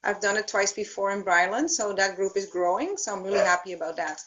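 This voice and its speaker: A woman with a Dutch accent speaks as a guest over a video call. She explains her work step by step and often pauses to think. Her voice sits in a middle pitch range. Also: steady tone, a bit bright, highs cut off. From Netherlands. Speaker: thermography practitioner, guest. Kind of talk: explanatory interview.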